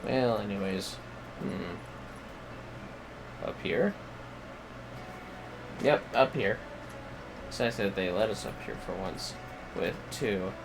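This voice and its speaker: A man hosting a game livestream, chatting casually and haltingly as he muses to himself. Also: fairly low pitch, creaky, noisy background. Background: music.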